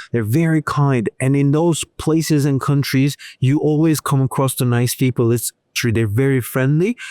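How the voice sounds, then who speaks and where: A man whose first language is Turkish, speaking English as an interview guest in a thoughtful way, pausing often and restarting his sentences. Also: mid-range voice, a little mellow, clean tone, dry recording, clean studio sound. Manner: hesitant, many restarts and fillers, slow thoughtful phrasing. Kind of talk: casual interview conversation.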